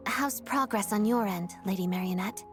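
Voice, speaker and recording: A woman's voice: medium pitch, normal speed, over music, natural voice. An actress playing a composed, courteous young female soldier and ballerina, speaking politely and with reserve for a video game.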